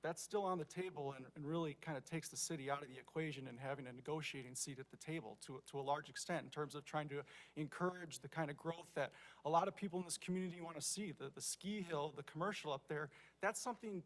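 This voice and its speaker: An American man speaking as a city council member in a public meeting, making measured, argumentative points with emphasis. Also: fairly high pitch. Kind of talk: deliberative meeting remarks.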